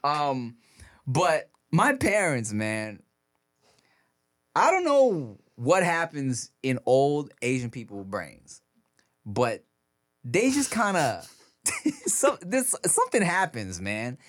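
A man's voice: a little high, very animated, slow pace, pauses often, fairly bright tone, dry recording, clean studio sound. A man co-hosting a podcast, telling stories in casual chat, swearing freely and laughing often. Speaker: podcast co-host. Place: podcast studio. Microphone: studio microphone.

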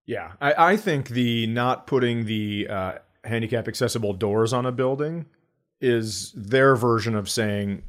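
Casting: man with a medium-pitched voice, casual podcast comedian, dry sarcasm, blunt profane asides. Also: a little slow. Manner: sardonic, profane, acts out blunt remarks.

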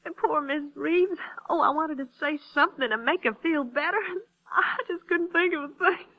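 A woman's voice: high-pitched, normal speed, lo-fi sound. An actress playing a nervous, defensive young small-town American woman in a 1950s radio drama, flustered and insistent. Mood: distressed. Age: young adult. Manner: flustered, hesitant, then insistent. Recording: vintage 1950s radio broadcast recording.

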